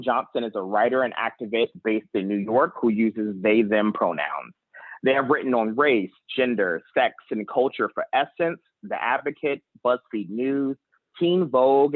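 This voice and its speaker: A man's voice: mid-range voice, dry recording, phone-quality sound. Young man moderating over thin call audio. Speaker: event moderator. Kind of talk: hosting an online event, semi-formal introduction. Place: indoors, online video meeting, close dry sound.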